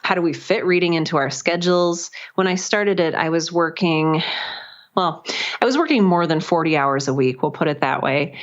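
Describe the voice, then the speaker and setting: A woman's voice: deep voice, normal speed, highs cut off. A woman speaking as a podcast guest, explaining her own work in an earnest, chatty, conversational way. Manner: earnest, chatty, often laughs while talking.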